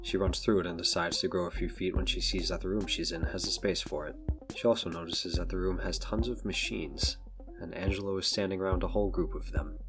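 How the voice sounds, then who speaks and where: A man with a deep voice narrates a scripted audio drama. Music runs clearly behind his reading. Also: fairly even intonation, fairly quick. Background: background music.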